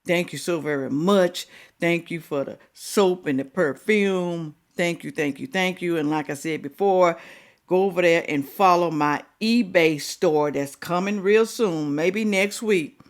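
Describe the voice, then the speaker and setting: A woman hosting a reaction video, talking casually, scolding and animated with drawn-out exclamations. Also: fairly low pitch.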